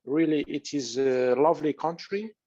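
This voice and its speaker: A man from Morocco with a Moroccan accent, speaking in halting, non-native phrasing as a panelist on a video call webinar. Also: fairly high pitch, warm tone, highs cut off. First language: Arabic. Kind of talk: casual video call chat.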